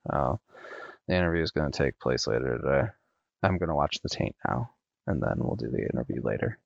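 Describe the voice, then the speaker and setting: Male podcast host, low and monotone, hesitant with fillers; dull, dry headset recording. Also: vocal fry, dry recording. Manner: monotone, with many ums and uhs. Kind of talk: casual solo podcast talk. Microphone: USB desktop headset.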